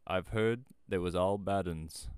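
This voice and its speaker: A man voicing an old rustic shepherd in a storybook, speaking in country dialect with slow, emphatic, grave warnings. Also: low voice, darkish tone, clean tone, dry recording, clean studio sound. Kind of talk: storybook character voice.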